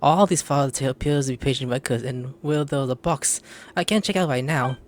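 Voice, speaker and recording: A man's voice: medium pitch, brisk. Male gaming host: casual running commentary, faint background noise. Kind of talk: casual gameplay commentary, reading lines along.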